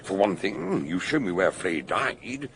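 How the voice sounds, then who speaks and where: An actor playing an eccentric, shrewd detective doctor in a radio drama, husky voice with a wry, ruminative manner. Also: male voice, sits low, very animated, unhurried, a bit bright, slight background noise, exaggerated voice. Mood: musing, curious. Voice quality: husky, gravelly. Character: eccentric, ponderous male amateur detective doctor, shrewd and curious.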